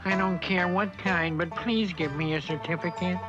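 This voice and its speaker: A woman's voice: fairly low pitch, steady tone, moderate pace, over music, lightly acted. Woman as naive little male turtle, dull sound. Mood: pleading. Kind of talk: animated children's story acting.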